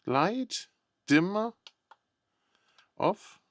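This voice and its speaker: A man's voice: high voice, expressive, extremely slow. A man giving casual, unscripted unboxing commentary, thinking aloud in short, tentative remarks. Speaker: product unboxing vlogger.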